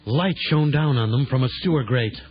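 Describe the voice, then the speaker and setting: An actor as the storyteller, giving dramatic scripted narration for a children's audio drama. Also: male voice, mid-range voice, normal speed, a little hiss or hum, natural voice. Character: male storyteller of an adventure tale. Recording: dull lo-fi audio with music.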